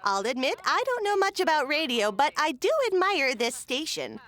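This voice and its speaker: An actress playing a scheming small-town mayor in a comedic audio drama, with a theatrical, mock-sweet, folksy and condescending delivery. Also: female voice, high-pitched, very animated, moderate pace, fairly bright tone. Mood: smug, mock-sweet.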